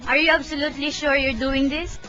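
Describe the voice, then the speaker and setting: A woman's voice: high voice, level tone, brisk, pushed and bright. A young woman playing a worried, protesting mother in a student radio drama. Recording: muffled amateur recording, music behind.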